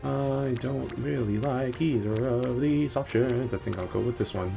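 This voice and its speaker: A man's voice: mid-range voice, measured pace, vocal fry, over music. A man streaming a game, chatting casually in a rambling, jokey way and correcting himself as he goes.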